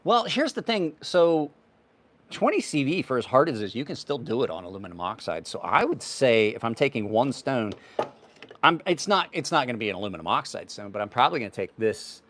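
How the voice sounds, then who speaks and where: A man's voice: medium pitch, big pitch swings, brisk, a bit bright, slight background noise. A man in his fifties hosting a knife livestream, answering viewers' questions in a rambling, offhand way. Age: 50s. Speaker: knife channel host. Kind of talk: casual livestream chat.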